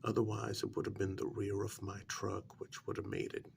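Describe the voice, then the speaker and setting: A man playing a nervous, deferential night-shift road worker in a soft-spoken ASMR role-play, halting and polite as he gives his account. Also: fairly low pitch, monotone, vocal fry, a little hiss or hum. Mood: subdued, explaining. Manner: halting, deferential, with frequent pauses and polite sirs. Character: deferential, nervous night road-crew workman, adult man, hesitant witness. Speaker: ASMR performer.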